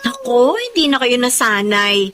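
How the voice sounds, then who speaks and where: A woman playing a caring, stubborn young animal lover in a Filipino radio drama, with a pleading, expressive and emphatic delivery and a Filipino accent. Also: a little high, a little slow, heavily acted. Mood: defensive.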